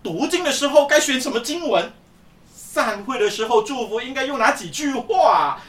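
A woman's voice: high-pitched, animated. Woman as a pompous veteran churchgoer, echoey. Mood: smug, pompous. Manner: pompous, drawn-out emphasis. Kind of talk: scripted Mandarin radio drama acting. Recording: roomy echo, distant from microphone.

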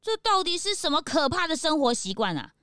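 A woman playing an excitable, outspoken young detective's sidekick in a children's audio drama, cute, dramatic and exclamatory. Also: sits high, fairly quick, dry recording, heavily acted. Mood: shocked, indignant. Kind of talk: children's radio drama acting.

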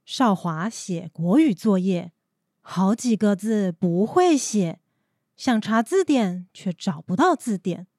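A woman's voice: mid-range voice, expressive, slow pace, mellow, dry recording, studio quality. A woman narrating a scripted children's story as the narrator of a radio drama.

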